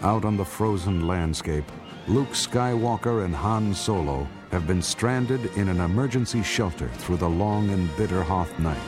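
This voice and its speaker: A man's voice: sits low, expressive, unhurried. A man narrating a space saga radio drama in a solemn, dramatic storyteller's manner. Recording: music under the voice.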